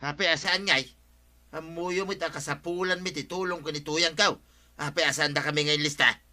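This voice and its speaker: A man's voice: a little high, steady tone, normal speed, pushed and bright. A man playing an aggrieved, hot-tempered villager in an Ilocano comedy radio drama. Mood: indignant.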